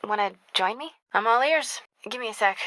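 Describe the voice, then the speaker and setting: An actress with an American accent playing a brisk, teasing young newspaper reporter in a video game. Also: female voice, a little high, expressive, pushed and bright, no room sound. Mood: upbeat. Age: young adult. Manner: casual, quick-witted phone chatter.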